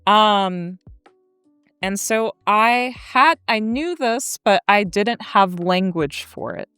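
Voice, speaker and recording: An American woman in her thirties telling a story as an academic guest in an articulate, deliberate, conversational way. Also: mid-range voice, a bit bright, clean tone, dry recording, studio quality, soft background music. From Kansas, United States. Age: 30s.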